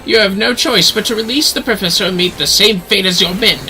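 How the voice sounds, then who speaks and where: A man's voice: sits high, a little fast, gravelly, bright, forceful tone, soft background music, voice pushed up, heavily acted, low-quality recording. A man voicing a haughty bandit villain in a video game, declaiming threats and orders theatrically. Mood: commanding. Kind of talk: dramatic video game dialogue read-out.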